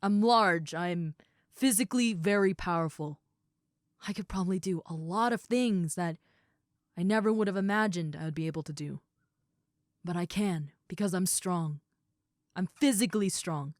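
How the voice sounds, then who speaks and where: A woman playing a big, caring female ogre roommate in an intimate comfort roleplay, speaking in a soothing, earnest way with pauses and soft moments. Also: lowish voice, dry recording, studio quality, natural voice. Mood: earnest, encouraging. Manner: soothing, earnest, hushed at times, with pauses and sighs. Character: gentle, caring seven-foot-six muscular female ogre roommate.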